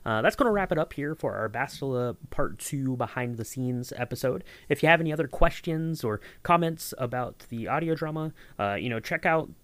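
A man's voice: medium pitch, animated, a little fast, hardly any pauses, a bit bright, no room sound. Rambling, affable man hosting a podcast. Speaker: podcast host and audio editor. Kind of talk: casual podcast chat.

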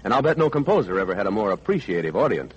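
A man's voice: fairly low pitch, sing-song, rapid-fire, slightly rough, highs cut off. A man interviewing in a scripted, formal 1940s radio announcer style.

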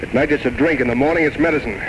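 A man's voice: mid-range voice, very fast, dull audio, natural voice. A man playing an eloquent, charming but desperate drinker in an old-time radio drama, with florid, mock-grand, poetic delivery. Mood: needy, excited. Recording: noisy vintage radio recording with music.

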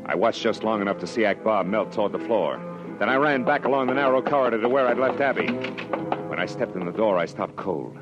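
An actor playing a tough, sardonic private detective in clipped, slangy 1940s radio style. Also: male voice, on the low side, animated, fairly quick, lightly acted. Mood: tense narrating. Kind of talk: 1940s radio acting, first-person hard-boiled narration. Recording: dull old radio recording with music.

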